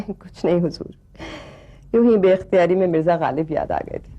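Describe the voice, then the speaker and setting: Actress doing courtly older-school courtesan lady, low husky voice, super polite and slyly flattering, tv drama, muffled old sound. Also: normal speed, natural voice. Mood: coaxing deference. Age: middle-aged. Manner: deferential, honeyed, elaborately polite. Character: courtly, flattering, sly courtesan mother quoting poetry. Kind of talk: screen drama acting in ornate, courtly speech. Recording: dull, lo-fi television film sound.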